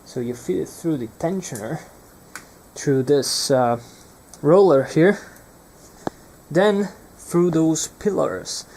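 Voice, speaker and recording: A man's voice: on the high side, slow pace, pauses often, darkish tone, a little hiss or hum. A young man presenting an unscripted hands-on electronics demonstration, speaking hesitantly and searching for his words.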